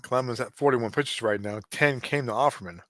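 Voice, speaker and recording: A man in his late forties doing casual play-by-play of a simulated baseball game, relaxed and chatty with frequent asides. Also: medium pitch, fairly quick, no echo, clean studio sound. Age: 40s. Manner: relaxed, chatty, given to asides and small restarts.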